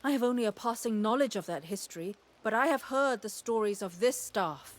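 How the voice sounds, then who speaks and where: A woman playing a concerned, curious ally in a fantasy game, expressive and questioning. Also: a little high, a little fast, lightly acted. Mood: astonished curiosity. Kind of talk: fantasy game cutscene acting.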